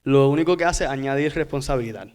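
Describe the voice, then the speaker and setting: A man's voice: mid-range voice, fast talker, a little mellow, clear, resonant tone. A man with a Puerto Rican accent explaining things casually as a podcast guest. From Puerto Rico. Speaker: podcast guest, pastor's son.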